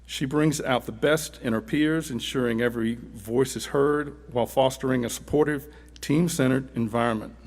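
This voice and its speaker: A man's voice: medium pitch, steady tone, moderate pace, darkish tone. A man reading a scripted speech as an award presenter at a ceremony, his voice echoing through a hall.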